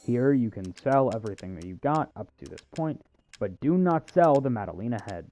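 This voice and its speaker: A man's voice: mid-range voice, animated, fairly quick, warm tone. A man giving casual video game walkthrough commentary, with hesitant, wry, self-deprecating asides.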